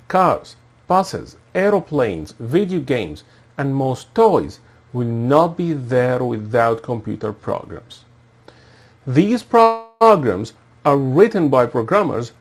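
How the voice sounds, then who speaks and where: A man in his thirties introduces himself as a university instructor. He reads a prepared script in a formal, measured way, and his pitch rises and falls a lot. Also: darkish tone. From Egypt. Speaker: assistant professor and course instructor. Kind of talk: scripted self-introduction video.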